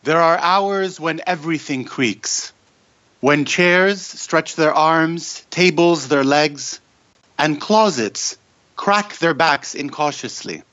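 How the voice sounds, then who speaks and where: Interview guest: thoughtful poet, slightly higher male voice, muffled lo-fi sound, unhurried and reflective. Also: fairly bright tone. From Egyptian-Lebanese family. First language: Arabic. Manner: measured, reflective, some hesitant restarts. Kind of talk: poetry reading, then conversational answers. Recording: dull lo-fi audio.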